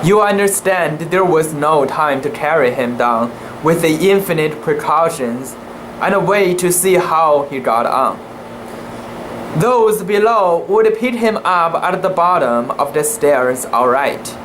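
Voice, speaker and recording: An amateur reader giving a dramatic reading of a classic sea story, in a halting, deliberate way with occasional stumbles over words. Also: medium pitch. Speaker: amateur reader making videos. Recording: noisy room with steady background hum.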